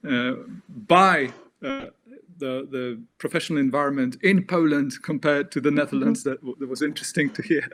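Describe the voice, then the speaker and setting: A man moderates a panel, thanking speakers politely before pressing them with probing follow-up questions. His voice sits slightly high for a man. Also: a bit bright.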